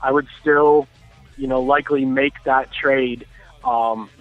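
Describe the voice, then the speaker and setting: Heard through a thin, phone-like connection with no room sound, a man talks sports. His voice sits at an average pitch, and he analyses casually, restarting phrases as he goes. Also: flat delivery, takes it slowly, no room sound, soft background music. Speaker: sports talk commentator. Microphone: phone call. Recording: thin, tinny phone line.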